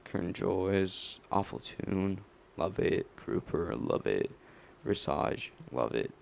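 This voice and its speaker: A young man rambling on his own late at night, mumbling slowly and halting often with fillers. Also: sits low, expressive, gravelly, a little mellow, a little hiss or hum.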